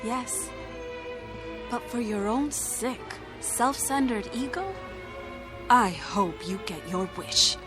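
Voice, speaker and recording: A woman's voice: on the high side, animated, slow, long pauses, music in the background. An actress playing a fed-up, sarcastic girlfriend in a radio drama, speaking in a dry, pointed way. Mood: angry.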